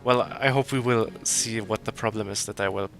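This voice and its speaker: A man playing a strategy game live and calmly explaining his moves. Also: fairly low pitch, moderate pace, soft background music. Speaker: player demonstrating the game. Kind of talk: explaining gameplay while playing.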